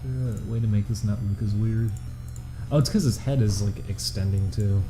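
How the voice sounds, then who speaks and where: A young man from Chicago with a monotone voice, chatting casually and self-deprecatingly on a live stream. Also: on the low side, music in the background. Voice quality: monotone, flat-sounding. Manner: rambling, self-deprecating, halting restarts. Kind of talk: casual live stream chat while drawing.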